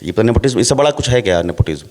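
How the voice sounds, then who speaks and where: A middle-aged man, an actor, talking in a relaxed interview and laying out his points with rhetorical questions. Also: fairly low pitch, racing. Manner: explains at length with rhetorical questions.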